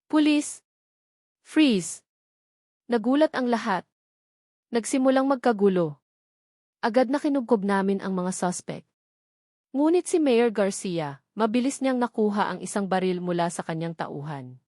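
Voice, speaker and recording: A woman narrates a dramatic story in the first person from a script. Her pitch sits in the middle of the female range, and the recording is dry, with hardly any room sound. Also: animated, moderate pace, dark tone, no echo.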